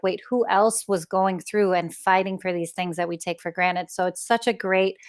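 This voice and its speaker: A woman's voice: medium pitch, smooth, no room sound, clean studio sound. A woman hosting a conversational interview, talking in an enthusiastic, emphatic way like a teacher. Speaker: interviewer and host, middle school teacher.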